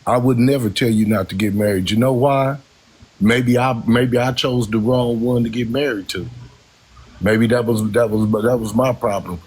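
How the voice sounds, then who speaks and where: A man of sixty on a live stream panel, speaking slowly and haltingly with many fillers and repeated words. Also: medium pitch, steady tone, a little mellow, husky, poor audio. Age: 60s. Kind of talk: casual panel talk.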